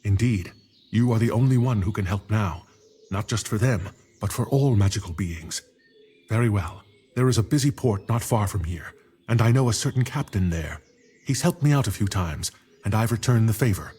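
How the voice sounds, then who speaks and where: A wise male druid from a fantasy game, with a fairly low, raspy-edged husky voice. He talks calmly and formally, loyal and cautious as he advises. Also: normal speed. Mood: cautious, advising. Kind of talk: fantasy game cutscene acting.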